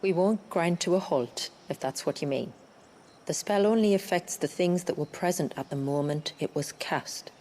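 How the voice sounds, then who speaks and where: Low-pitched female video game guide, woman acting, stoic and world-weary, dry matter-of-fact delivery. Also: moderate pace, mellow, slight background noise, lightly acted. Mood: grave.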